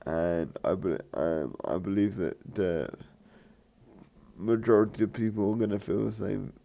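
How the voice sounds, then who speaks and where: Deep croaky man, rambling gamer talk. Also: fairly even intonation, measured pace, mellow. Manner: hesitant, many fillers and restarts. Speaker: gaming channel host. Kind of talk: rambling let's play commentary.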